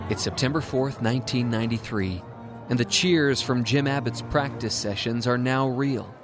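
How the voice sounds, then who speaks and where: A man's voice: mid-range voice, normal speed. A man narrating a scripted dramatic story as its storyteller. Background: music.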